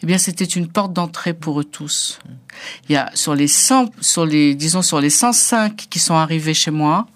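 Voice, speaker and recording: A woman's voice: low-pitched, moderate pace. A middle-aged woman photographer from North Africa, a native Arabic speaker, telling her story as a guest with many hesitations and restarts. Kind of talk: personal reflective interview talk.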